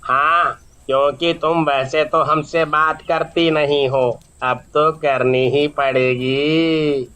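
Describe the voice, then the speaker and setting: A man's voice: a little high, steady tone, slow, bright, forceful tone, clean tone. A man voicing a village thug with a heavy drawl in a cartoon horror story. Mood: menacing. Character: forceful male village thug.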